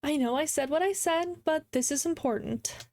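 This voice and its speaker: Woman acting a high, pleading young woman lover. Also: moderate pace, darkish tone, dry recording. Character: pleading, insistent woman lover in a visual novel. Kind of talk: dramatic character reading.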